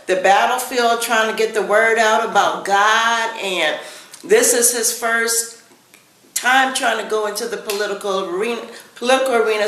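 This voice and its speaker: A woman's voice: on the high side, fairly bright tone, roomy sound, low-quality recording. A woman hosting a casual interview show from a living room, effusive and full of praise for her guest. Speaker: talk show host.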